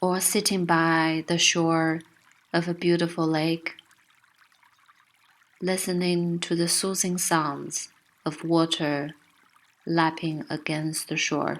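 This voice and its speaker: A woman narrating a guided meditation with gentle, soothing, unhurried instruction. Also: low-pitched, level tone.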